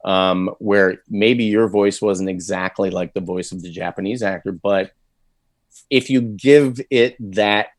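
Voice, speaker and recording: A man's voice: fairly low pitch, measured pace, no room sound. A man, a voice actor, talking casually and thoughtfully in an interview, with many fillers and restarts. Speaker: voice actor guest.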